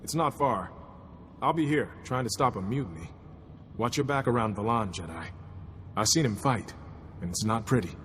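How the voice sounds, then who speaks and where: An actor playing a gruff, weary and practical military commander in a video game, brisk and blunt. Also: male voice, medium pitch, animated, fairly bright tone, long reverb tail, a little hiss or hum, lightly acted. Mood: worried, explaining. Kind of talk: video game cutscene acting.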